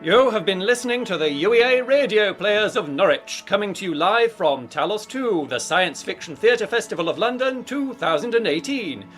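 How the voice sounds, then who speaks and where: Casting: man as closing announcer for live radio play, high-pitched and very lively, reading over music. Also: moderate pace, hardly any pauses. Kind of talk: scripted radio credits announcement.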